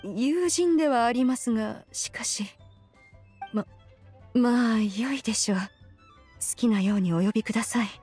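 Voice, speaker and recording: Husky, dignified ancient immortal. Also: female voice, fairly high pitch, moderate pace, pauses often, a little mellow, faint music behind. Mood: reluctant. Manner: formal, stately, archaic. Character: ancient female adeptus, dignified, aloof, archaic. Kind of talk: Japanese anime game voice acting.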